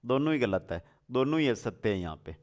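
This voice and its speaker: A man's voice: medium pitch, very animated, fairly quick, darkish tone. A man teaching an exam lesson in question-and-answer form, repeating answers and addressing students familiarly with rhetorical questions.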